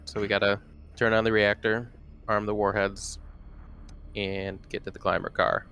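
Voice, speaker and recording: A man's voice: fairly low pitch, level tone, moderate pace, sharp tone, clear, resonant tone, dull audio. A man chatting casually as a player in a tabletop roleplaying game.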